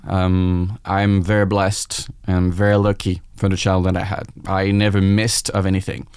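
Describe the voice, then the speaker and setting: A man in his forties speaks English with a French accent, his low voice open and reflective as he tells his story. The sound is dry and close, with no room around it. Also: completely flat delivery, moderate pace, darkish tone, smooth, no room sound. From France. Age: 40s. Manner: reflective, open, quoting his own thoughts aloud. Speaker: guest chef. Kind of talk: candid podcast conversation.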